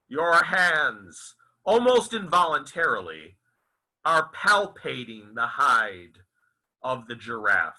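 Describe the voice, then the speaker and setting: A man delivers a dramatic audiobook reading in a fairly high voice that swings expressively up and down. His phrasing is deliberate and broken into short, emphatic pieces, and he moves at a very slow pace. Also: pushed and bright, poor audio. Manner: theatrical, deliberate, halting phrasing with emphatic enunciation. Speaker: author reading his own novel aloud. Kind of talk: dramatic literary audiobook recitation.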